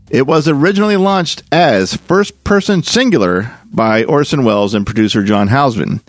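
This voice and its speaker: Man hosting, expressive delivery over music. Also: medium pitch, no echo. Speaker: podcast host. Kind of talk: scripted introduction to an old-time radio show.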